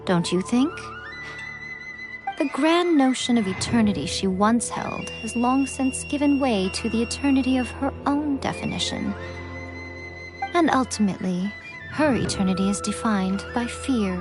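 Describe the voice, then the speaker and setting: Actress as a sly, elegant fox shrine maiden, music behind. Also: female voice, on the high side, unhurried, lightly acted. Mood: wry, aloof. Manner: sly, languid, condescending. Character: teasing, elegant female fox shrine maiden, centuries old. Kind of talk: video game character dialogue.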